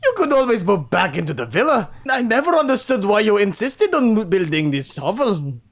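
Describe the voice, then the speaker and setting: A blustering old wolf chieftain with a Scottish lilt, acted out by a story reader. He is stern yet fatherly, barking orders in a raised pitch with big swoops. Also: very animated, normal speed. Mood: commanding. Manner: commanding, blustering. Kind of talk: amateur character voice in story read-aloud.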